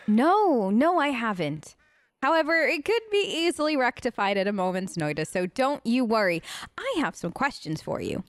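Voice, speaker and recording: A woman's voice: fairly high pitch, big pitch swings, normal speed, dry recording, clean studio sound. A woman doing playful, chatty gameplay commentary, sometimes speaking in character, with a mock-whiny touch. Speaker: gamer doing a role-play let's play.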